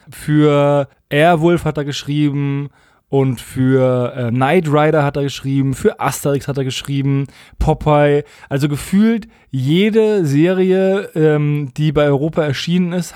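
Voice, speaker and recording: A man's voice: fairly high pitch, a little mellow, no room sound, clean studio sound. A man co-hosting a podcast in casual, colloquial talk, giving frank opinions. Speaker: podcast co-host.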